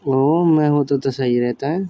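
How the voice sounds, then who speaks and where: A man's voice: medium pitch, a little slow, mellow. A man chatting casually on the phone in a drowsy, laid-back manner. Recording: phone call with faint background noise.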